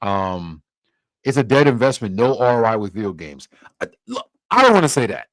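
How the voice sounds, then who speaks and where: A man's voice: mid-range voice, big pitch swings, moderate pace, no echo, low-quality recording. A young man from Cameroon hosting a casual livestream, answering viewer comments in a loose, easygoing way with many restarts. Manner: laid-back, loose, filler-heavy with frequent restarts. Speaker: livestream host.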